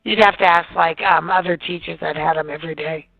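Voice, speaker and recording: An American woman, a teacher, answering questions informally and emphatically over the phone in a gravelly, forceful voice. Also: low-pitched, steady tone, fairly quick. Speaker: teacher being interviewed. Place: on a telephone call. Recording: dull phone audio, faint music.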